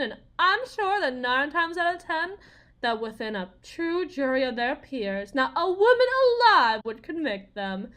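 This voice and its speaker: Bitter Southern wife, high-voiced. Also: female voice, a bit bright. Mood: scornful, defiant. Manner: confiding, theatrical, folksy, wounded and sardonic. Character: bitter young Southern wife and expectant mother, desperate, dreaming of stardom. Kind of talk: dramatic monologue in an audio roleplay.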